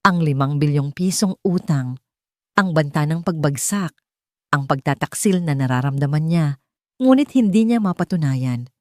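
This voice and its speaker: Female story narrator, lower register, dramatic scripted delivery, dry close sound. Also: lively intonation, normal speed, dry recording.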